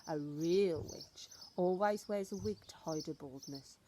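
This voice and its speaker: Storybook grandmother, played by a woman reader: old, stern, ominous, snappish, with a soft-edged tone and slight noise on the recording. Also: fairly low pitch, unhurried, close to an everyday voice. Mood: relishing, instructive. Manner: emphatic, instructive, snappish.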